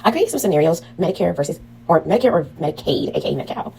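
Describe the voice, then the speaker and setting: A woman's voice: on the low side, animated, very fast, darkish tone, breathy edge, poor audio. A woman teaching a casual online class on medical billing, full of "um"s and "okay?" checks to her students. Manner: chatty, frequent "um" and "okay?" check-ins.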